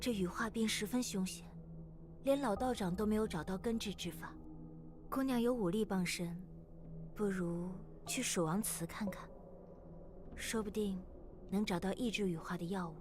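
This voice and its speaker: A woman voicing a composed temple caretaker in a video game, advising in a measured way. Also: mid-range voice, background noise, close to an everyday voice. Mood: calm concern. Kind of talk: video game dialogue acting. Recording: game music behind voice.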